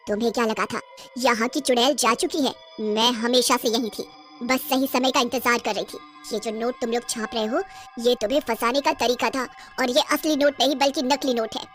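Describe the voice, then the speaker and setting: A woman voicing a vengeful, gloating witch in a cartoon horror story, taunting her captives with drawn-out threats. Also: on the high side, a bit bright, close to an everyday voice. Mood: menacing, boastful. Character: vengeful, gloating female witch who runs a factory.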